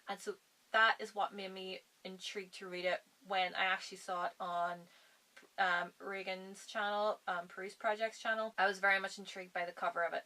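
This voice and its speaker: Bright-voiced woman vlogger, slightly strained. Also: medium pitch, low-quality recording. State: strained voice from long filming. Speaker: book reviewer vlogger. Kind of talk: casual vlog chat.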